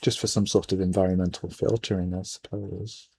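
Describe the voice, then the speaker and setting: A man's voice: low-pitched, little pitch movement, brisk, darkish tone. A man giving casual, rambling game commentary, musing aloud, often trailing off and reading bits of on-screen text. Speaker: let's player, gaming video host. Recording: noisy home microphone, game music behind. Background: video game music.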